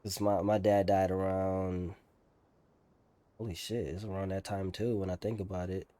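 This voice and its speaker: Mellow, low-voiced young man streaming. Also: level tone. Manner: slangy, candid, halting. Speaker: gaming streamer. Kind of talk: casual personal talk over gameplay.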